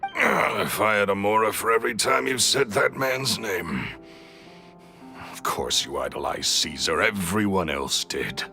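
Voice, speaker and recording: An actor playing a bitter, vain, greedy magician thief in a video game, theatrical and sneering. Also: male voice, lowish voice, unhurried, vocal fry, sharp tone, soft background music, full character voice. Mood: boastful, indignant.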